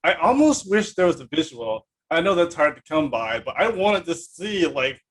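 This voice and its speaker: A man hosting a podcast in casual conversation, talking in a halting, rambling, self-deprecating way. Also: on the high side, sing-song, fairly bright tone, slightly rough, rough recording. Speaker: podcast host. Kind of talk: casual interview chat.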